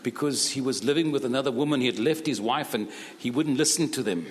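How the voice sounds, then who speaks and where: A man preaching in short phrases to a church, pausing for an interpreter. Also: mid-range voice. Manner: speaks in short phrases, broken German asides. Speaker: guest preacher. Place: church hall. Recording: long hall reverb.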